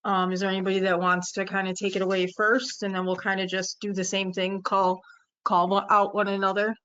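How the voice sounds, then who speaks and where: A woman's voice: medium pitch, little pitch movement, a little fast, fairly bright tone, clean tone, dull audio. A woman facilitating a panel discussion in a loose, easygoing way, with lots of "kinda" and "um". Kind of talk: moderating a panel.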